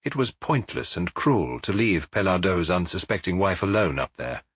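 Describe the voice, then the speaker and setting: Guy reading a detective audiobook, bit husky, expressive pitch, dry studio-like sound. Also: normal speed, no room sound. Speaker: audiobook narrator. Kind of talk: scripted literary narration of a detective novel.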